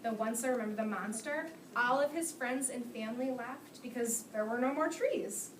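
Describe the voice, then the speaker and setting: A woman with a high voice reads to a class of young children. She speaks as a teacher, instructing, praising and putting questions to them. The sound is echoey and distant, with clear noise in the room. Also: normal speed. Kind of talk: reading aloud to a class, asking questions.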